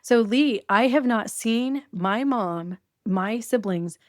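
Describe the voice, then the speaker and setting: A woman's voice: a little high, slow, dry recording, studio quality. A middle-aged woman, a podcast guest, candidly telling her own story in a conversational interview. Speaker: guest, domestic violence survivor.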